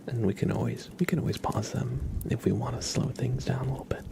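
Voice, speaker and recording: A man hosting an ASMR livestream, chatting gently and casually in a soft, breathy voice with frequent pauses. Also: mid-range voice, steady tone. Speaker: livestream host.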